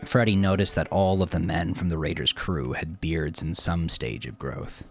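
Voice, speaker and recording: A man's voice: low voice, steady tone, moderate pace, soft background music. A man narrating crime fiction as a dramatic scripted audiobook.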